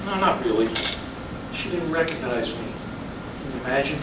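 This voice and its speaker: A man's voice: medium pitch, lively intonation, moderate pace, close to an everyday voice. A man reading the part of an easygoing, pragmatic husband in casual staged-play dialogue. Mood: casual, matter-of-fact. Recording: distant mic in echoey, noisy room.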